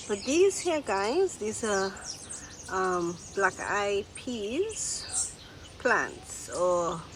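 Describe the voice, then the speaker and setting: Lady with a stuffy nose showing off her garden, talks real slow, birds chirping in the background. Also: mid-range voice. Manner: slow, unhurried, pointing out plants one by one. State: nasal from a cold. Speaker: gardening vlogger. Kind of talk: casual garden tour narration. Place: outdoor garden. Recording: outdoor noise with birdsong. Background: birds singing.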